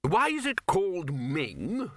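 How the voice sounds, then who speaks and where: A man's voice: sits high, huge pitch swings, slow, no echo, clean studio sound, pitched above the performer's own voice, full character voice. A man voicing a blustery, commanding older male head wizard, hearty and emphatic, in a comic fantasy audiobook. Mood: puzzled.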